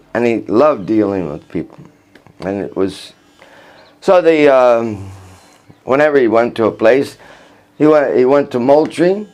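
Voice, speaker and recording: An older man from the United States, telling personal stories in a halting, rambling way with restarts and chuckles. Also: medium pitch, a little mellow. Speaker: vlogger recounting his life. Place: outdoors in Vrindavan.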